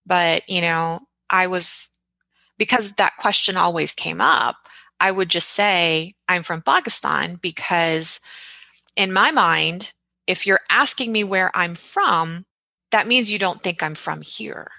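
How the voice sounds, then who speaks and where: A woman in her forties from the United States, who grew up in Texas, telling lively and reflective stories as a guest in an interview. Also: lowish voice, slow, creaky, dry recording. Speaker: podcast host, guest. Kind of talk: casual interview storytelling.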